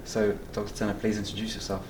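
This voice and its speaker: A young man who presides over a student society, hosting an interview in a quick, cheerful way with plenty of mm-hmms. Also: on the low side, flat delivery, echoey room, recorded from a distance, background noise. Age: young adult. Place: dental school, indoors.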